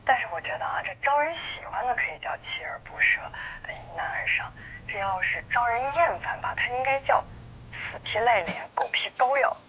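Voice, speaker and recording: An actress playing a wry, blunt confidant in a screen drama, heard through muffled, noisy sound. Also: female voice, on the high side, expressive, brisk, natural voice.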